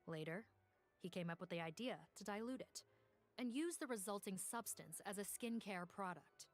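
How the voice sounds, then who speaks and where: A woman playing a composed police officer in a video game, speaking in a formal, measured, matter-of-fact way. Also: mid-range voice, animated, soft background music, natural voice. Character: composed female police officer reporting on a case. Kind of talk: scripted video game dialogue.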